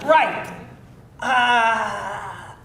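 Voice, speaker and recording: A woman's voice: on the high side, very slow, gravelly, a bit bright, slight background noise, heavily acted. A woman playing a hapless, nervous vampire hunter who stammers and hesitates sheepishly, in a live screenplay table read. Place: indoor live reading before an audience. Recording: roomy live venue.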